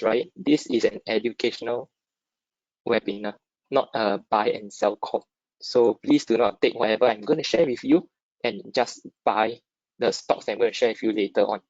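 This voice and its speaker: A man's voice: mid-range voice, a little mellow, dry recording. A man from Singapore with a Singaporean accent presents an informal, interactive webinar, often checking in with short tag questions. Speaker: webinar speaker, stock dealer. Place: dry close online webinar. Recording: dull lo-fi online call audio.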